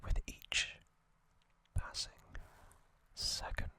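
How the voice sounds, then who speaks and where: An ASMR host whispering trivia, softly repeating single words as triggers and reading from a box cover. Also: very slow. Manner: reads aloud from a box cover.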